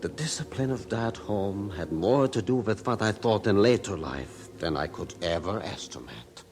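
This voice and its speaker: Low-voiced, solemn man remembering. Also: lively intonation, moderate pace, a little mellow, slight background noise. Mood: solemn, reflective. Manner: solemn, reflective. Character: grown man solemnly recalling his hard childhood. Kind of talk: scripted dramatic narration. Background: faint music.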